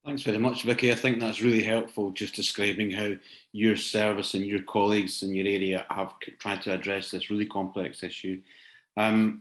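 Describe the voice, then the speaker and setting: A man hosting a discussion off the cuff, speaking politely and tentatively with some hesitation. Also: on the low side. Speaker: discussion host. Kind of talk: unscripted moderating of an online discussion. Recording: roomy, echoing sound.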